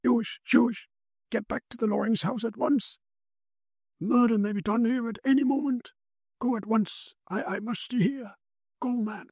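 A man voicing a commanding, learned and grave occult investigator in a dramatised story, emphatic and with hesitant pauses. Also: very high-pitched, fairly even intonation, creaky, a little mellow, slightly rough, no room sound, studio quality, voice pushed up, exaggerated voice. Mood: urgent, commanding. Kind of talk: dramatised audiobook character.

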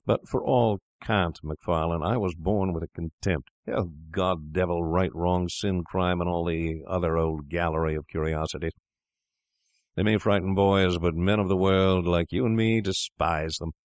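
A man voicing a boastful young male doctor, drunk and swaggering, with a loud bragging manner. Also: low-pitched, animated, measured pace, gravelly, no echo, clean studio sound, heavily acted.

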